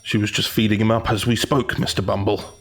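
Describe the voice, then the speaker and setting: An actor playing a mild, subdued undertaker in an audio drama, with a measured manner. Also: male voice, lowish voice, little pitch movement, creaky, soft background music.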